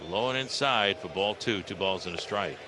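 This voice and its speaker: An American man calling a live baseball game on the radio, in clipped descriptive phrases with some drawn-out calls. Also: low voice. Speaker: baseball radio play-by-play announcer. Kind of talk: live play-by-play commentary. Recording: radio broadcast with clear background noise.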